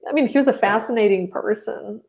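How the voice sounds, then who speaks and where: A woman's voice: a little high, brisk, gravelly, darkish tone. A young woman from Russia, a podcast guest talking casually and rambling with many restarts.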